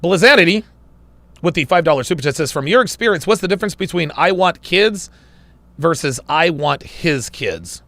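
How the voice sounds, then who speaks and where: A man's voice: fairly high pitch, animated, normal speed, sharp tone. A man hosting a casual livestream, reading viewer comments aloud, laughing often and sounding wry, a little strained as he loses his voice. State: losing his voice. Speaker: livestream host reading super chats.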